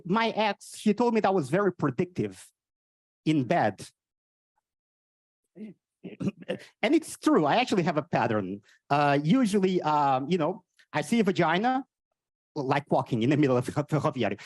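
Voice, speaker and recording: Animated Portuguese man doing stand-up. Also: on the high side, normal speed, no room sound. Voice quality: odd, cartoonish voice. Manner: energetic, teasing banter with the audience. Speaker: stand-up comedian. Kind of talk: live stand-up with crowd work. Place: on stage at a live event.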